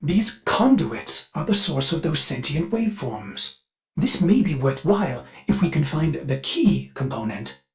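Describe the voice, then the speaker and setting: A man's voice: fairly high pitch, sing-song, measured pace, faint music behind. An actor voicing a polite, eager male ship AI servant in a video game, with a formal, mannered delivery. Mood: informative. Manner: mannered, formal, refers to itself in third person. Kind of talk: scripted game character voice lines.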